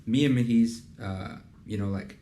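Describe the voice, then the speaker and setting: A young man hosting a casual interview and pitching his program in an earnest, conversational way, with plenty of fillers. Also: normal speed, dark tone. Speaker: host and program founder. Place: in a building in a city business district.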